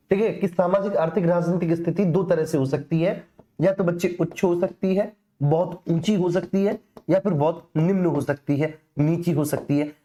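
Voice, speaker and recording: Mellow-voiced Indian woman teaching a class. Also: lowish voice. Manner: repeats key phrases for emphasis; addresses students familiarly. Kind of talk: explanatory exam-coaching lecture.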